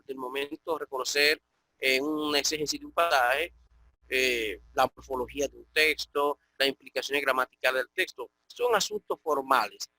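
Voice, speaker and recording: A middle-aged man, giving an online grammar lecture as a Greek teacher. He explains step by step and often checks in with a quick "ok?". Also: fairly high pitch, gravelly, pushed and bright, no room sound.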